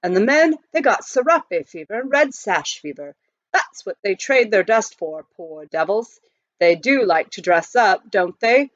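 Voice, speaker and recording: Opinionated rustic gold prospector for a storybook, voiced by a woman, folksy, animated, muffled dry sound. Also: medium pitch, measured pace, no echo, natural voice. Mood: amused. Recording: dull lo-fi close recording.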